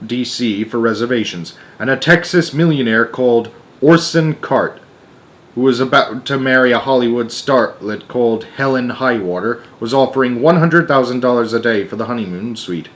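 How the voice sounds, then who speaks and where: A man reads a children's book as a storyteller. His mid-range voice is expressive and deliberate, leaning on key words and sometimes stumbling. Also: medium pitch.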